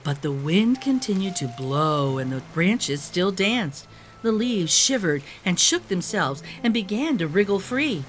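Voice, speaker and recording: Woman reading a kids picture book aloud, really expressive up and down voice, music in the background. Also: lowish voice, unhurried, slight background noise. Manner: animated, careful storytelling. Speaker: children's book narrator. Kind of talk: expressive storybook read-aloud.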